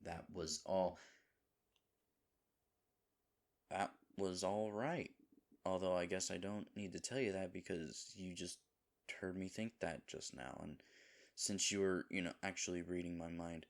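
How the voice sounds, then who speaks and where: A man playing a sweet, dim himbo boyfriend in an audio roleplay, talking in a halting, rambling, flustered and earnest way. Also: deep voice, steady tone. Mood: flustered, amazed. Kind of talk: audio roleplay acting, intimate one-sided dialogue.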